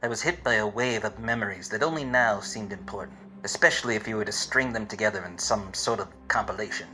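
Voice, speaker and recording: A man playing a wisecracking, dim hard-boiled detective in a parody of 1940s radio drama, wordy and deadpan. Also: on the low side, fast talker, rough recording. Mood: wry narration. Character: wisecracking, obtuse hard-boiled male private eye narrator.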